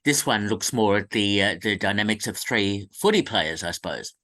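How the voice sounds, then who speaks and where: Bright-voiced male interviewer. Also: on the low side, lively intonation. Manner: halting, searching phrasing. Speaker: interviewer, host. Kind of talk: conversational arts interview.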